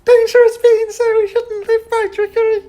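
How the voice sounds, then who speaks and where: A man voicing a small, earnest female rat with a squeaky voice in an audiobook. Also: little pitch movement, normal speed, dark tone.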